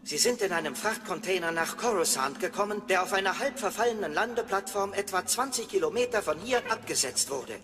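An actor playing a fussy, indignant translator droid in a radio play, prim, formal and precise. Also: male voice, high-pitched, expressive, fairly quick, hardly any pauses. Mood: formal, reporting. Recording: reverberant studio drama with music.

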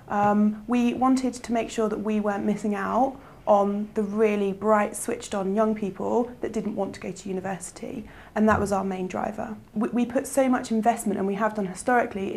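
Young woman, very even delivery. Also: mid-range voice. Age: young adult. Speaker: employer representative. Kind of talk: interview answer.

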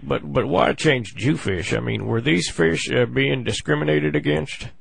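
A man with a gravelly voice making a comic prank phone call, halting and full of mock-earnest complaint. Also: medium pitch, slight background noise. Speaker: prank caller on a comedy show.

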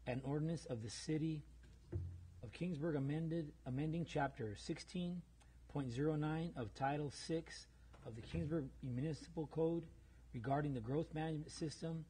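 A man's voice: a little high, dark tone, slight background noise, poor audio. A man chairing a city council meeting, reading a motion aloud in a formal procedural tone. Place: council chamber.

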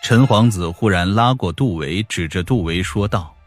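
A man's voice: low voice, normal speed, dry recording. A man narrating a scripted audiobook story. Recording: music behind the voice.

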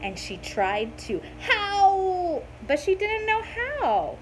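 A woman's voice: extremely high pitch, slow. A middle-aged woman reading a children's story aloud expressively at home, talking to her young listeners. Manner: expressive, asking the listeners questions. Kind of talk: animated children's read-aloud. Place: room at home, indoors. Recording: faintly noisy home recording.

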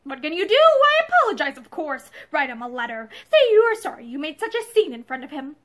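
A bullying middle-aged husband, put on by a woman reading aloud, harsh and blustering, his voice pitched high for a man and swinging wide. He snaps every line like a threat. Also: big pitch swings, a little fast, a bit bright. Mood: raging.

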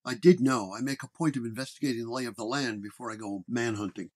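A man's voice: mid-range voice, quick pace, a little mellow, natural voice. A man playing a world-weary 1930s paranormal detective, dictating case notes in terse, hard-boiled radio narration. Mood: matter-of-fact.

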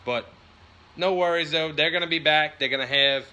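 A man's voice: on the high side, moderate pace, pushed and bright. A man gives a casual, colloquial vlog talk at home, recorded at arm's length. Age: 30s. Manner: informal, colloquial. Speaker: fishing vlogger. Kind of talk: casual chat to camera. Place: indoors in a home living room. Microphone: phone or camera at arm's length.

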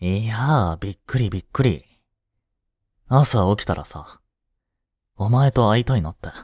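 A male actor plays a cheeky, laid-back high school boy in a romantic audio drama. He talks slowly in casual slang with an animated range of pitch, teasing yet protective and reassuring. The sound is close and dry. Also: slightly rough, dry recording. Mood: casual, affectionate.

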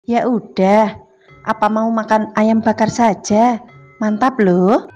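A woman's voice: on the high side, steady tone, slow. A woman voicing a fussy city mother who coaxes and sighs in exasperation. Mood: exasperated. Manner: coaxing, exasperated. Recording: dull lo-fi sound with music.

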